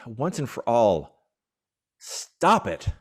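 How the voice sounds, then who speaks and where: A man's voice: medium pitch, big pitch swings, extremely slow, full character voice. A man playing the exasperated but loving boyfriend of a stage diva in a radio play, with wry, emphatic phrasing. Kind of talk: radio play acting of classic film dialogue.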